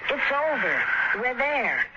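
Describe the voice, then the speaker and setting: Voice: mid-range voice, very animated, slow pace. An actor playing an earnest, questioning young boy in a 1950s radio drama. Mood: anxious, wondering. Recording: noisy muffled old radio recording.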